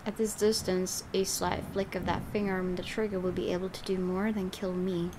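A woman's voice: on the low side, level tone, moderate pace, background noise. A woman hosting a gaming video, reading story narration aloud dramatically with casual reactions. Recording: game music clearly behind. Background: game music.

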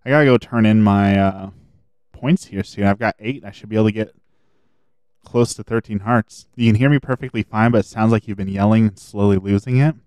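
Guy streaming a game, lowish smooth voice gone a bit hoarse, rambles on, dry close mic. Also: a little fast, darkish tone, dry recording. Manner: chatty, rambling, thinks aloud. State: slightly hoarse. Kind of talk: casual gameplay commentary.

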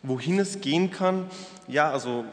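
A man's voice: a little high, normal speed. A young man from Austria, a panel guest speaking frankly and earnestly, with some hesitant fillers, at a table microphone. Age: young adult. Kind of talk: panel discussion. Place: wood-panelled hall, indoors. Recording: reverberant hall with faint noise.

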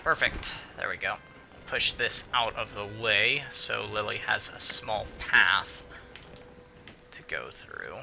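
Bright, creaky-voiced man doing game commentary. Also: lowish voice, slow. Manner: muttering, sweary, talks to game characters. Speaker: gaming video host. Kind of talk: casual let's play commentary. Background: game music.

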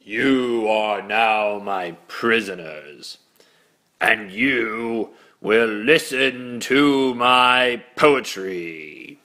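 A man's voice: lowish voice, sharp tone, heavily acted. An actor playing a callous, pompous male alien captain who declaims menacingly in a sci-fi comedy drama. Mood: grandiose, menacing. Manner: slow, drawn-out, menacing declamation.

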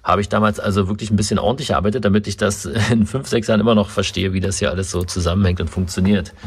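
A man's voice: on the low side, fairly even intonation, fast talker, a little hiss or hum. A man casually explaining car electronics while working in a workshop, filming from his own point of view. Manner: casual, explanatory, with frequent fillers. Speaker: car mechanic and video host. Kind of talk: hands-on workshop walkthrough. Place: indoor car workshop beside a stripped car.

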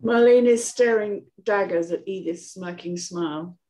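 A woman's voice: medium pitch, a little slow, warm tone. Woman narrating screenplay directions. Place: indoor room at home, on a video call.

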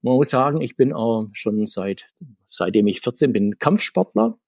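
A man's voice: mid-range voice, sing-song, normal speed, vocal fry, darkish tone, no room sound. A middle-aged man telling anecdotes as an interview guest, chatty and digressive, often restarting his sentences. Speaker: podcast guest, comics author.

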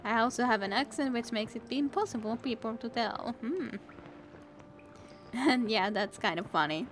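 A woman's voice: fairly high pitch, normal speed, a little hiss or hum. A young woman streaming a role-playing game, chatting casually with viewers, laughing often and teasing them. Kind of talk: casual game stream chat, reading dialogue aloud.

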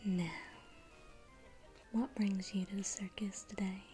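A young woman playing a sweetly menacing female circus clown in an ASMR roleplay, coaxing and teasing in a breathy whisper. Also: mid-range voice, flat delivery, takes it slowly, dark tone, natural voice. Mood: playful, sly. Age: young adult. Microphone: close ASMR microphone. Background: music.

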